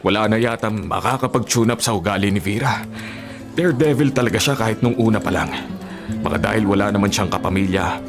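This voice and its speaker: Filipino radio drama actor doing a deep-ish team chief, skeptical and bossy, music underneath. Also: male voice, fairly quick, lightly acted. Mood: resigned. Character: skeptical, authoritative male racing team chief.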